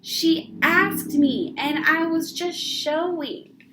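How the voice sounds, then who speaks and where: Woman doing a stubborn, high-voiced little girl. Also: drawn-out words, noisy background, full character voice. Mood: indignant. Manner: whiny, protesting. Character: stubborn, indignant five-year-old girl.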